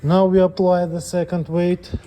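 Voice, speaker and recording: Man, slightly high pitch, very soft dark timbre, halting hands-on instruction, faint background noise. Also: flat delivery. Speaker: presenter of a scale calibration tutorial. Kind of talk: unscripted step-by-step technical demonstration.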